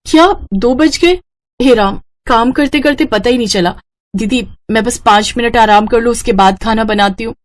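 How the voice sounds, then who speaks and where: A woman voicing a long-suffering, overworked young daughter-in-law in an animated family story. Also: a little high, normal speed, close to an everyday voice. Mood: weary. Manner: polite aloud, grumbling to herself.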